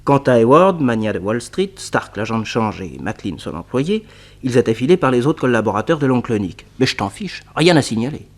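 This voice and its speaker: A man narrating a detective radio play, telling the story in a lively, colloquial way. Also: mid-range voice, brisk.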